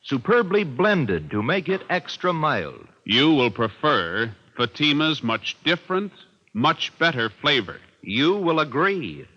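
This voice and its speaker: Animated radio commercial announcer, man. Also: fairly high pitch, measured pace. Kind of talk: 1950s radio cigarette commercial, polished sales read. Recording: dull vintage radio recording, faint noise.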